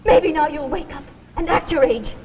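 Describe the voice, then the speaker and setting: An actress playing a practical, stern and protective sister in a 1950s radio drama, with an exasperated delivery. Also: female voice, high-pitched, moderate pace. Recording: muffled, noisy, reverberant old radio recording.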